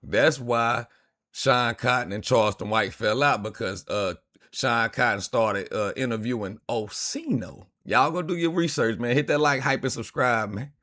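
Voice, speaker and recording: A man's voice: mid-range voice, normal speed, pushed and bright. A man hosting a reaction video, commenting casually in laid-back slang with fillers.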